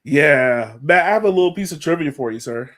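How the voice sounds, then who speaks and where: A man's voice: a little high, lively intonation, fairly quick, fairly bright tone. A man co-hosting a soccer podcast in casual chat, with a playful, folksy way of putting questions. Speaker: sports podcast co-host.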